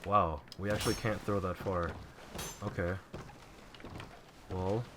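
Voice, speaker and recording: A man with a low voice talks quietly and loosely over his gameplay, drifting through thoughts with plenty of ums. Faint background hiss sits under the recording. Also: slow pace. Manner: relaxed, rambling, frequent fillers. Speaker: let's play gamer. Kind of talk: casual gameplay commentary.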